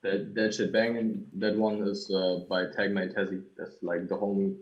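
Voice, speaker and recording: A young man with a German accent, a music producer chatting as a guest in an interview, easygoing and a little halting, with soft laughs. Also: on the low side, flat delivery. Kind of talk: casual interview chat. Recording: dull lo-fi line.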